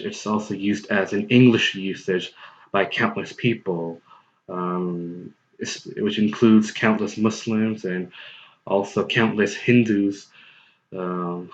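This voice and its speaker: Low-voiced man, rambling and earnest. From United States. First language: Hindi-Urdu. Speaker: tutorial host. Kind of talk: casual explanatory talk. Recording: dull lo-fi recording.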